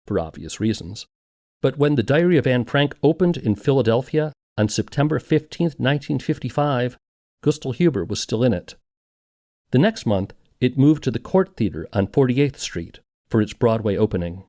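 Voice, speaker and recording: Man narrating with lively, varied pitch. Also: dry recording, clean studio sound. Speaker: podcast host and narrator. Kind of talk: scripted documentary narration.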